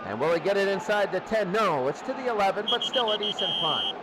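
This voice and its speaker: Male sports announcer, high register. Terse live football calls over clear noise. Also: lively intonation, a little fast. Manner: terse calls, repeating down and yardage. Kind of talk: live American football commentary. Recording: clearly noisy recording.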